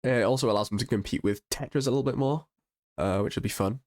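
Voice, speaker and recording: A man with a croaky voice from being a little sick, casually reviewing game patch notes with upbeat enthusiasm and frequent restarts. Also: medium pitch, fast, darkish tone. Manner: chatty and enthusiastic, with ums and restarts. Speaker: gaming channel host.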